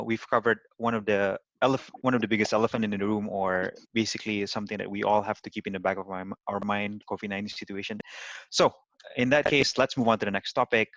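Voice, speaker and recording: A man with a fairly low voice moderates an online panel and introduces speakers with a lot of "uh"s and repeated starts. The sound is dry and close, a little muffled, like a video call. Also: a bit bright, no echo. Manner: hesitant, many "uh"s and repeated starts. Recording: dry, dull lo-fi audio.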